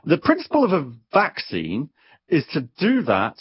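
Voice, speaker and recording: A man speaking as an expert guest, explaining things conversationally in an animated, wry way, sometimes doubling back on his words. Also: on the high side, normal speed, slightly rough, muffled recording.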